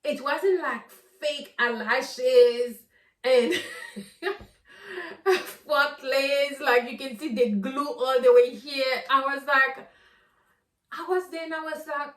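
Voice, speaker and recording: A woman speaks unhurriedly and earnestly, repeating words as she works up to each point. Her voice sits fairly high. She is recorded from a distance in a roomy, echoey space. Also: slow. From Haiti. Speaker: podcast host. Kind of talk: earnest solo talk to camera. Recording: roomy sound from a distant mic.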